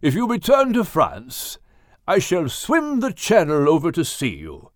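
A kindly old-fashioned gentleman whale with a British accent, performed by a woman for a storybook. The delivery is formal and unhurried, with expressive swells. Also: sits low, dry recording, clean studio sound, pitched above the performer's own voice, exaggerated voice. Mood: warm farewell. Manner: formal, measured, hesitant courtesy.

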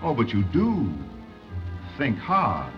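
An actor playing a suave, cruel, Oxford-educated mountain khan with urbane, mock-courteous menace in a 1930s radio drama. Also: male voice, mid-range voice, huge pitch swings, slow pace, faint music behind. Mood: silkily sinister. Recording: dull 1930s radio broadcast.

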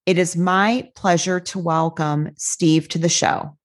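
Female podcast host, measured formal delivery, slightly low pitch. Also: steady tone. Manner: reads a long formal bio in a measured way. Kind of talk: scripted guest introduction.

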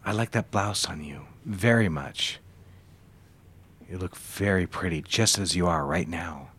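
An actor playing a cold, controlling middle-aged executive boss in an audio drama, measured and commanding. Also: male voice, deep voice, a bit bright, breathy edge, full character voice. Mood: soft, seductive.

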